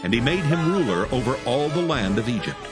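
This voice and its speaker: A man's voice: mid-range voice, very animated, a little fast, poor audio. A man narrating scripture as a dramatized audio story in a formal storytelling style. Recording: music behind the voice.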